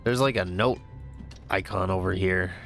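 Stuffy-voiced man with a gravelly low voice. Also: moderate pace, fairly bright tone. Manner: thinking aloud, easygoing, upbeat exclamations. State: stuffy, congested voice. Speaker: gamer playing a new game. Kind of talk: casual let's-play commentary. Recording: game music behind.

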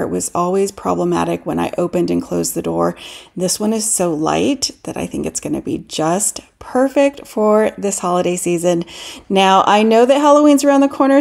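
A woman's voice: mid-range voice, gravelly, a little mellow. A middle-aged woman narrating a do-it-yourself decorating tutorial as a voice-over, explaining each step in a friendly, instructive way. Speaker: DIY craft channel host.

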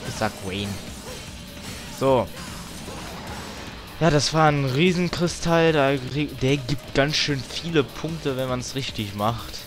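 A man giving casual, grumbling let's play commentary as he games, with some tongue clicking. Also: mid-range voice. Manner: grumbling, mild swearing. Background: video game music.

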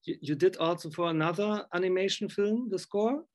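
A man's voice: high voice, brisk. A middle-aged man, chatting effusively as an interviewer on a video call from a room at home. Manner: effusive, enthusiastic. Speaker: interviewer, film music fan. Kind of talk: casual interview chat.

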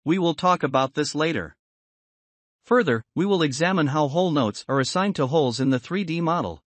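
Higher-pitched man narrating a software tutorial. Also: expressive, brisk, no echo. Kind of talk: scripted instructional narration.

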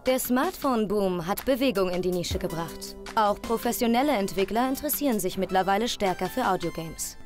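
Eine Frau liest als Reporterin den vorbereiteten Text eines Magazinbeitrags. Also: female voice, mid-range voice, animated, fairly quick, hardly any pauses. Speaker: reporter voice-over. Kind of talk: scripted magazine report narration. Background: loud music.

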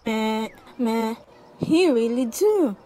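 A woman voicing a sensible sheep in a puppet show, bleating and speaking deliberately. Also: fairly high pitch, animated, mellow. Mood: content.

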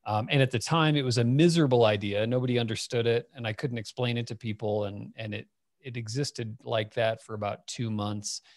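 Relaxed man rambling through stories. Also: medium pitch, brisk, no echo, studio quality. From Phoenix, Arizona. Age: 40s. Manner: relaxed, rambling, many fillers. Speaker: guest, company founder. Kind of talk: casual storytelling interview. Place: home office. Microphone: headset over video call.

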